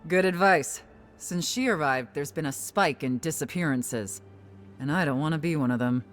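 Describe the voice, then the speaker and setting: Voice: mid-range voice, normal speed, soft background music, lightly acted. Chatty, cautious townsperson, acted out. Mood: cautionary. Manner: chatty, forthright, advisory. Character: brisk, cautious townsperson giving friendly warnings. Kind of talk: naturalistic game voice acting.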